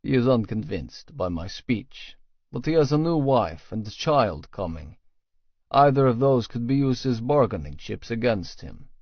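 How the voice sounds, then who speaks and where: Guy narrating a novel in first person, medium pitch, very dry slightly muffled recording. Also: no room sound. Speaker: audiobook narrator. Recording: dry, slightly dull studio sound.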